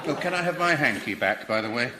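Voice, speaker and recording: An actor playing a cheerfully curious, unflappable professor in a comedy radio drama, chatty and donnish with a British accent. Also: male voice, mid-range voice, animated, quick pace, very noisy, lightly acted. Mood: blithely insincere. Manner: chatty, polite, donnish, amused.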